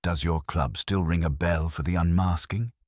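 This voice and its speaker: A man plays a young private detective in a mystery audiobook. The voice is extremely low and the delivery brisk and wry, full of dry wisecracks. The recording is close and dry. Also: fairly even intonation, no echo. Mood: wry, probing.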